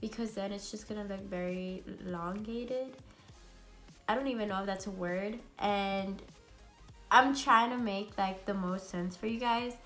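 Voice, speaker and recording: Raspy congested young woman vlogger. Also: medium pitch, fairly even intonation, unhurried, fairly bright tone, faint music behind. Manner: chatty and bubbly, many fillers. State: hoarse and congested from a cold. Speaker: beauty vlogger. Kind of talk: casual makeup tutorial chat. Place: at home.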